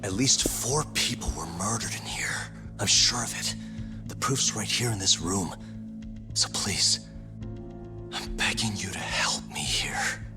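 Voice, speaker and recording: Dogged ex-lawyer sleuth, game dub, deep dry delivery, pointed, over loud score. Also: male voice, expressive, measured pace, music in the background. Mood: pleading. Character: dogged, wry ex-lawyer investigator man. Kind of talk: English video game dub acting.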